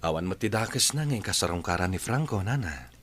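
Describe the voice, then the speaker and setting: A man's voice: sits low, lively intonation, brisk, fairly bright tone, slight background noise. A man with an Ilocano accent playing a considerate, consoling husband in a radio drama. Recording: faint music under the voice.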